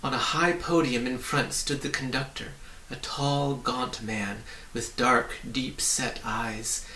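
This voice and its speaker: A man's voice: mid-range voice, fairly even intonation, slow, pushed and bright. A man reading a children's story aloud as scripted audiobook narration. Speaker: audiobook reader. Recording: roomy, distant, faint hiss.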